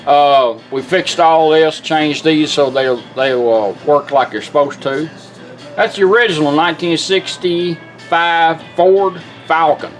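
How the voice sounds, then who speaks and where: A man with a slightly higher-pitched voice walks through a product demonstration in a casual, hands-on way. He is folksy and plain-spoken, giving instructions step by step, with music audible behind him. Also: slow pace, poor audio. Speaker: radio restorer and demonstrator.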